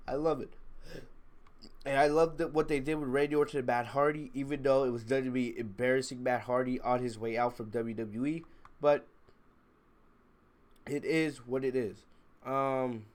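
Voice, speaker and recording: A man's voice: medium pitch, steady tone, moderate pace, long pauses. Guy with a stuffy cold doing a live wrestling review, chatty, opinionated, kinda blunt. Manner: opinionated, blunt, emphatic, mildly profane. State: congested, sick with a cold. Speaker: wrestling review livestream host. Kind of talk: casual live commentary, chatting to viewers.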